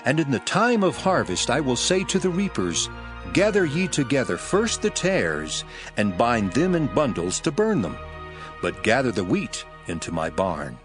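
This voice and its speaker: An actor playing Jesus as an earnest preacher teaching parables in a dramatized scripture reading, solemn and declamatory, with music under the voice. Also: male voice, medium pitch, very animated, natural voice. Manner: solemn, declamatory, measured phrasing. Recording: loud music bed under the voice.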